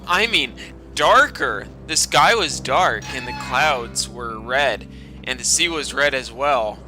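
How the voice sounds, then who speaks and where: A man's voice: medium pitch, animated, takes it slowly, poor audio. A man doing a dramatic storytime reading, breaking into sarcastic, profane and exclamatory mocking asides. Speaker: storytime narrator. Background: video game music.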